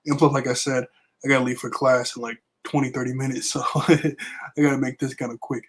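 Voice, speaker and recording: A young man with a raspy voice left over from being sick, casually recapping a manga chapter with lots of fillers. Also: medium pitch, little pitch movement, a little fast. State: raspy voice recovering from illness. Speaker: manga review vlogger. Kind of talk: casual chat.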